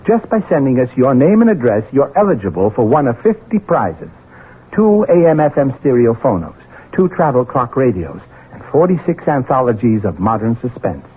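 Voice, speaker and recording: A man with an American accent and a husky voice reading a scripted 1970s radio promotional announcement. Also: mid-range voice, very animated, moderate pace. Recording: muffled 1970s radio broadcast.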